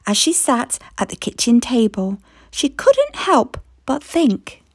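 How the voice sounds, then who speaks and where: A woman narrates a children's storybook with plenty of expression. Her voice is high and very melodic, and she reads slowly in a close, dry sound. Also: huge pitch swings, husky, no room sound.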